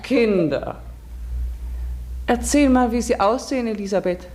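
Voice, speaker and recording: An actress playing a village schoolteacher in a German radio drama, articulate and measured, stern yet gentle. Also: female voice, on the high side, heavy background noise, lightly acted. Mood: probing, gentle. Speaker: actor in a radio play. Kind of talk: German radio drama, narration and classroom dialogue.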